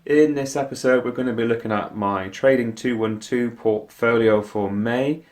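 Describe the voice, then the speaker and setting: A man's voice: mid-range voice, fairly even intonation, moderate pace, a little mellow, no echo. A man with a stuffy, congested voice from a cold, hosting a casual portfolio walkthrough vlog and often hesitating with ums and restarts. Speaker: investing vlogger.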